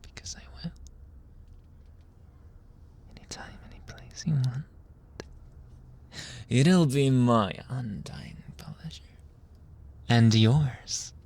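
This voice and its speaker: Man acting out a husky, whispering, seductive mafia boss. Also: mid-range voice, a little mellow. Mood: possessive, seductive. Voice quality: breathy, husky, often dropping into whisper.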